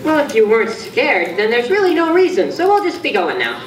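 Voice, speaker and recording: Reassuring cartoon friend, animated children's story, very lively pitch, distant roomy sound, music behind. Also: brisk, heavily acted. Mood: sheepish. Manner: expressive, reassuring, simple explanations for a child.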